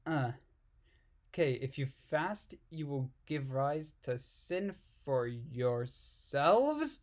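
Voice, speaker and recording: A man's voice: medium pitch, lively intonation, extremely slow. A man reading aloud and commenting casually in an irreverent, giggly, puzzled manner. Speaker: amateur reader and commentator.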